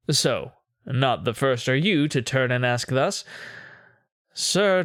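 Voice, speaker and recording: A man performing a dramatic poetry monologue as a haughty, controlling, sinister Renaissance duke, with a pompous, theatrical, deliberate delivery. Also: mid-range voice, a bit bright, no echo, clean studio sound. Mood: measured disdain.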